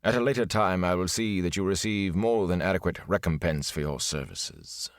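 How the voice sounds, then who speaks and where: A man's voice: sits low, moderate pace, clean studio sound. Man voicing a theatrical older male minstrel, reverberant, indolent, grandiose.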